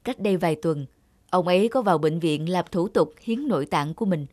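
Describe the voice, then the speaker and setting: A woman's voice: lowish voice, fairly quick, darkish tone, no room sound, close to an everyday voice. Woman voicing a formal, kindly cartoon doctor. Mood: calm, informative. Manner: formal, explanatory. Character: kindly middle-aged male doctor, calm and formal. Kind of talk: cartoon dubbing.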